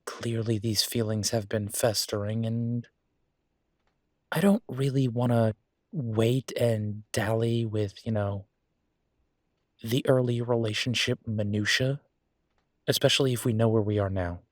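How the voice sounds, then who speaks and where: A man's voice: fairly low pitch, steady tone, measured pace, pauses often, no echo, clean studio sound, lightly acted. A man playing an affectionate young werewolf boyfriend in an intimate audio roleplay, talking in a casual, hesitant, rambling way. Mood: earnest.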